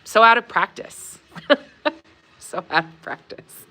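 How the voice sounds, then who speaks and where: A woman's voice: mid-range voice, takes it slowly, poor audio. A woman hosting a live Q&A stream, chatting casually with many fillers, laughing often and reading viewer comments aloud. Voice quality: warm, conversational, many fillers.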